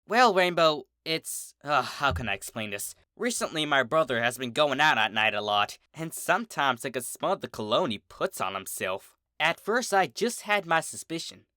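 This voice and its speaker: Someone putting on an earnest, animated country pony mare. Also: medium pitch, normal speed, hardly any pauses, dry recording, studio quality. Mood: explaining, determined. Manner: hesitant, earnest explaining. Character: earnest orange country farm pony mare, protective sister.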